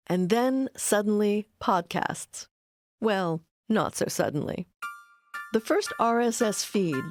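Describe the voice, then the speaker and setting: A woman's voice: lowish voice, a little mellow. A woman delivering scripted narration as a documentary narrator.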